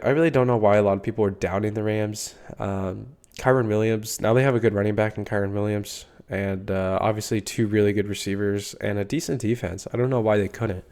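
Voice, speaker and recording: A man's voice: fairly low pitch, a little mellow, clean tone. A young man with a congested, stuffy voice, rambling casually through a solo sports podcast with lots of fillers and the odd swear. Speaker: podcast host.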